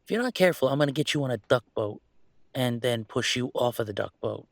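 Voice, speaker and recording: Casting: male actor for young male werewolf, audio drama; gravelly, dry close sound, wry and wounded, halting confessional delivery. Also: medium pitch, expressive, no echo. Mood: teasing. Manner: halting, sardonic, rambling confiding. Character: troubled young male werewolf, wry, self-deprecating, hurting. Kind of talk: intimate audio roleplay monologue.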